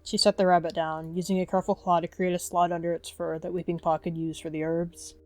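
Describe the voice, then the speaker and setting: A woman's voice: on the low side, level tone, fast, darkish tone. A woman narrating a scripted third-person animal story as part of a roleplay. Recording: music behind.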